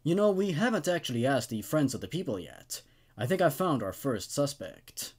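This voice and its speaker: A man's voice: mid-range voice, very animated, moderate pace, a little mellow. A man voicing an earnest, troubled police sheriff in a horror story reading. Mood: serious. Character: troubled male police sheriff investigating missing children.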